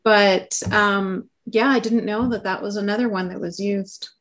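A woman's voice: medium pitch, steady tone, a little slow, a bit bright. A woman with Arabic as her first language hosting a casual online talk, outspoken and swearing when indignant. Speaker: host.

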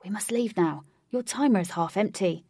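A woman's voice: mid-range voice, normal speed, mellow, no echo, studio quality, lightly acted. A woman voicing a stern male warrior who speaks in a curt, commanding way.

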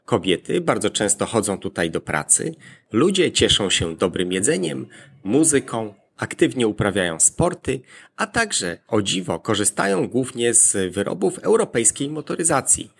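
A man presenting a scripted explainer in a deliberate, point-by-point manner. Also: medium pitch, big pitch swings, vocal fry, slightly rough.

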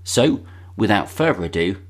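A man with a distinctly low-pitched voice hosts a podcast. He introduces and questions in a conversational interview manner, as one person chatting with another. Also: very animated, moderate pace, breathy edge.

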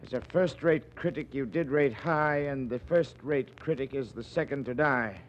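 A man's voice: mid-range voice, normal speed, a little hiss or hum, natural voice. An American actor playing an irate, impatient police commissioner in 1940s radio style, hectoring and barking his lines. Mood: reading aloud. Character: blustering, irate police commissioner demanding fast results. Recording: dull, lo-fi vintage 1940s radio recording.

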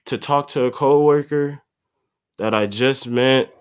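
A man's voice: mid-range voice, unhurried, clear, resonant tone. A man of about twenty, congested, rambling in a slangy, profane solo podcast monologue. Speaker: podcast host.

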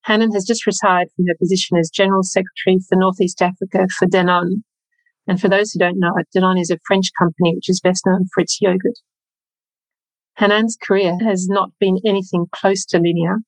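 A woman's voice: on the low side, level tone, fairly quick, no room sound, poor audio. A woman hosting an interview podcast, reading an upbeat scripted introduction before questioning her guest. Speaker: podcast host.

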